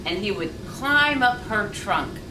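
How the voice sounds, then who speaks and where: Middle aged lady reading a kids book out loud, deliberate phrasing, echoey room w faint noise. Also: mid-range voice, animated. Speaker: volunteer story reader. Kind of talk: children's storybook read-aloud. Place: indoor sitting room with leather sofa. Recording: roomy, distant mic, faint noise.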